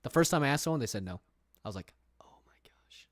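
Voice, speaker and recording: A man's voice: medium pitch, expressive, fast talker, dry recording, clean studio sound. A young man from England chatting casually on a livestream from his room, rambling with fillers and reading viewer questions aloud. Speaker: streamer.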